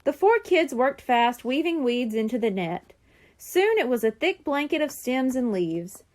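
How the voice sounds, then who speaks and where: Female storybook reader, high voice, lively and expressive delivery for young listeners. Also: unhurried. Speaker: reader of a children's chapter book. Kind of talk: expressive storybook read-aloud.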